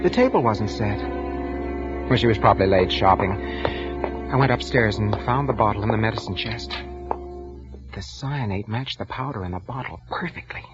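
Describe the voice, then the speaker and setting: An actor playing a nervous, guilt-ridden husband who narrates his own crime in an intense, halting 1940s radio style. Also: on the low side, expressive, lightly acted. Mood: cold, scheming. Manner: intense, haunted, halting delivery. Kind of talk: 1940s radio thriller acting, first-person narration. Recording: muffled vintage radio broadcast, music underneath.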